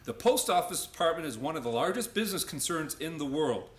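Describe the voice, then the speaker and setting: A man as an insistent, animated defense lawyer. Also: on the high side, fast, echoey room. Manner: formal, insistent. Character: confident, persistent male defense lawyer. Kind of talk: radio play courtroom acting.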